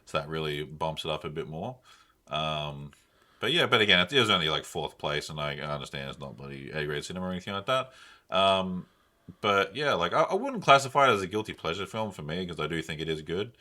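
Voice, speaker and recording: A man's voice: sits low, lively intonation, brisk, bright, forceful tone. A man co-hosting a podcast, chatting casually about films in a rambling, slangy, enthusiastic way. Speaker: podcast co-host. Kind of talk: casual film discussion.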